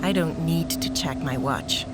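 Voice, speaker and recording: A woman's voice: deep voice, a little slow. A woman playing an odd, earnest character who makes surreal pronouncements in a radio play. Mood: subdued. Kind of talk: scripted radio play acting. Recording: prominent music under the voice. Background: loud music.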